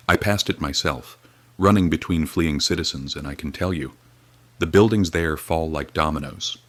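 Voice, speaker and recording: An actor playing a weary, grim radio reporter, speaking in a solemn, measured, grave way. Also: male voice, a bass voice, a bit bright, no echo. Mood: urgent. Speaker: field reporter. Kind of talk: dramatic period radio reporting.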